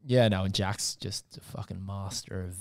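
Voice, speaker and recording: Laid-back Australian man, low dry voice. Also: fairly even intonation, normal speed, a little mellow, no room sound. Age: 30s. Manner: laid-back, swears freely, laughs often. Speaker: band member, guest. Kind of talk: casual podcast chat.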